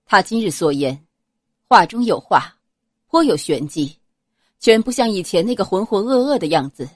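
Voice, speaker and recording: A woman's voice: medium pitch, expressive, moderate pace, slightly rough, no room sound, clean studio sound. A woman voicing a proud, wary fourteen-year-old noble boy with a serious, formal manner in an audiobook. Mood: suspicious.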